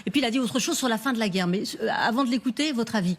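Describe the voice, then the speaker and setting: A woman's voice: a little high, rapid-fire. A woman hosting a live news discussion, putting questions to her guests. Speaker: host. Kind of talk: live news panel moderation.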